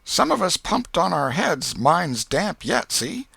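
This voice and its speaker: A man puts on a cheeky young boy for a storybook. The voice jumps up high and swings about, bright and pert, with folksy country phrasing and a mischievous edge. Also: very animated, slow pace, creaky, husky, dry recording, heavily acted. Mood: wary, innocent. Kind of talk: audiobook character voice.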